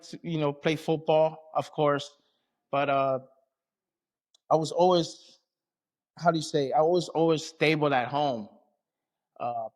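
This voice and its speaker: A man from American Samoa and Southern California, an athlete being interviewed, talking casually and colloquially with frequent check-in phrases. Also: mid-range voice. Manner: colloquial, frequent "you know what I mean". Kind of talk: casual conversation.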